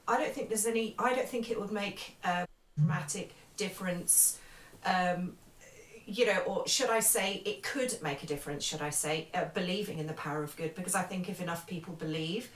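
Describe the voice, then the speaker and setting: A woman's voice: fairly low pitch, moderate pace. A woman in a casual interview conversation, talking earnestly and emphatically and repeating her phrases. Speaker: interviewer, spiritual medium. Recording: roomy, distant mic, background noise.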